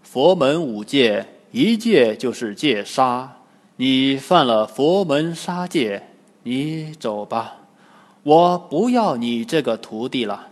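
Guy doing a solemn monk master, slow careful talk, preachy, lots of reverb. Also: medium pitch, very animated. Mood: stern. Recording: long hall-like reverb.

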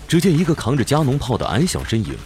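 A man's voice: medium pitch, wildly swooping pitch, quick pace, darkish tone. A man narrating a fantasy battle for a radio drama in a dramatic, scripted storytelling style. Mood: dramatic narration. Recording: noisy sound effects behind.

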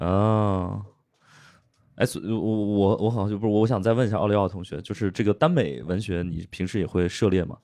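A man's voice: lowish voice, fairly quick, a little mellow. A man hosting a live podcast, questioning a guest from the audience with teasing follow-ups. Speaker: podcast host.

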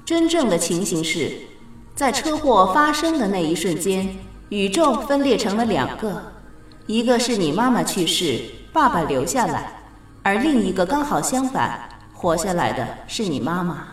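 Echoing, patient goddess of fate. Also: female voice, medium pitch, big pitch swings, distant mic, soft background music. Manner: measured, explanatory, gently authoritative. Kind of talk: radio drama acting. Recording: very echoey reverberant studio effect.

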